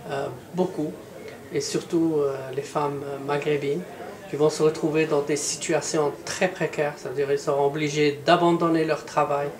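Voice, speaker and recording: A man's voice: fairly high pitch, level tone, normal speed, crowd noise. Hesitant male interviewer, echoey crowded room. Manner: hesitant, many fillers. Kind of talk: interview questions.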